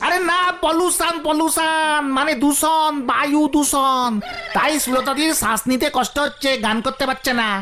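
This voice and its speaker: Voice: sits high, fairly even intonation, moderate pace, sharp tone, slight background noise. An actor playing a young nephew sidekick who patiently explains things in a comic cartoon. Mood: explaining.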